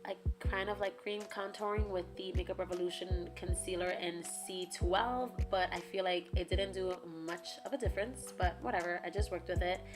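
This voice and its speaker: Hoarse young woman over loud music. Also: on the low side, steady tone, normal speed, hardly any pauses. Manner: casual, chatty, filler "like". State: raspy, hoarse from karaoke. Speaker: beauty vlogger. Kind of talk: makeup tutorial voice-over.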